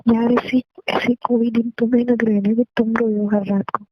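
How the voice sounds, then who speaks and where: A young ex-girlfriend, acted by a woman in a sad call drama, apologetic and pleading. Her voice sits a little high but moves very little in melody, with hesitant phrasing. The sound is dull, like a recorded call. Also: little pitch movement, normal speed, no echo, close to an everyday voice. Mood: remorseful. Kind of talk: dramatised emotional phone-call dialogue in Hindi with English phrases.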